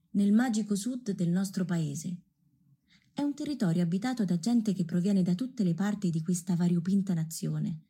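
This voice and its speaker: Husky, mellow solemn female storyteller. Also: lowish voice. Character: female storyteller of a folk-legend tale, solemn. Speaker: stage actress narrating. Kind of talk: literary radio theatre narration.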